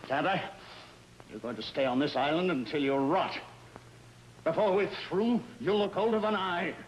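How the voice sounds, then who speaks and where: An actor playing a jealous, possessive older husband in an old-time drama, with formal, theatrical delivery. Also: male voice, a little high, moderate pace, close to an everyday voice. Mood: menacing, possessive. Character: jealous, possessive older husband, menacing toward his wife. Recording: noisy vintage TV drama soundtrack.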